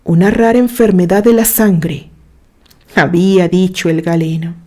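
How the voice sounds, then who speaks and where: Woman, audio story narrator, unhurried pace, warm dark timbre, expressive literary reading, measured pauses. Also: on the low side.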